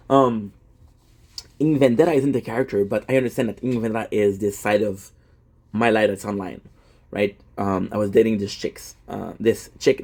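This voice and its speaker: Husky rambling man in his thirties. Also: fairly low pitch, darkish tone. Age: 30s. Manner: rambling, self-deprecating, many fillers and restarts. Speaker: gaming content creator. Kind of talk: casual rambling monologue.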